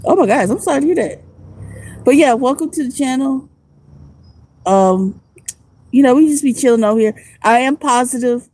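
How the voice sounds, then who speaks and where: A woman's voice: high voice, lively intonation, normal speed, pauses often, a little mellow. A woman who is a homeless vlogger and music producer, chatting casually and rambling in slang on a phone livestream outdoors at night.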